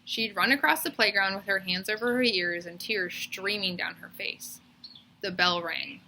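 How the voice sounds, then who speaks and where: Bright-voiced woman reading a storybook. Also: mid-range voice, normal speed, a little hiss or hum, low-quality recording. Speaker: teacher reading a children's book aloud. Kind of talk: expressive storybook read-aloud narration.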